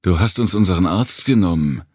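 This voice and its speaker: A man voicing a harsh, reproachful inner voice that accuses a grieving survivor in a dark audiobook, with a gravelly tone. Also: deep voice, expressive, brisk, dry recording, studio quality, voice pushed up. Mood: bitter accusation. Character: accusing inner voice heard by a grief-stricken survivor.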